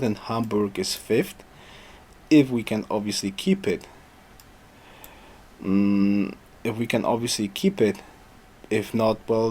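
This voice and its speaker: A man thinking aloud as he commentates a strategy game, hoarse because he is losing his voice, rambling and restarting his sentences. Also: lowish voice, darkish tone. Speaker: gameplay commentator. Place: home.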